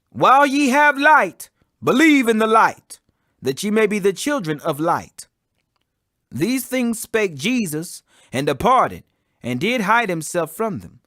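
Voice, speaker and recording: Guy reading bible audiobook, high bright voice, really expressive and declamatory, dry sound. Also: dry recording. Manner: expressive, declamatory, emphatic on quoted speech. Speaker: scripture reader.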